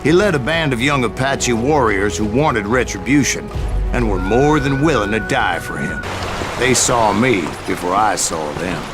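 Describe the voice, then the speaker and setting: An actor playing an aging, wry Old West bounty hunter, telling stories in a folksy American voice. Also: male voice, fairly low pitch, animated, moderate pace, loud music behind the voice, lightly acted. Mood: grave, reflective. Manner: folksy, wry, colorful turns of phrase. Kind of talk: scripted storytelling narration.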